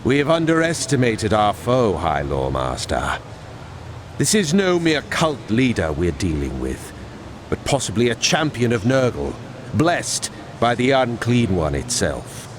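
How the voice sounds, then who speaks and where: An actor playing a learned mage who lectures solemnly about a dangerous foe. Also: male voice, medium pitch, wildly swooping pitch, unhurried, big-room sound, background noise.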